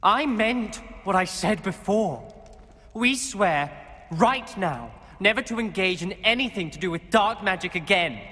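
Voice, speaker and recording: A man's voice: high voice, expressive, unhurried, sharp tone, exaggerated voice. A man playing an anxious, principled teenage wizard in a video game, earnest and solemn. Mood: insistent. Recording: music under the voice. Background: music.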